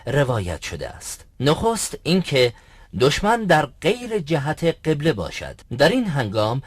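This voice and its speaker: A man's voice: on the high side, wildly swooping pitch, breathy edge, no room sound, studio quality, exaggerated voice. A man playing a learned, earnest religious teacher in an educational cartoon, explaining in a formal, didactic way. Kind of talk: scripted educational cartoon dialogue.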